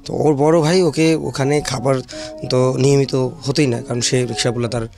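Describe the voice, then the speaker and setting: A Bangladeshi man with a gravelly, medium-pitched voice explains a case in an interview. His phrasing is hesitant and dotted with English terms, and quiet music plays behind him. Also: fairly even intonation, moderate pace. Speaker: shelter manager, interview guest.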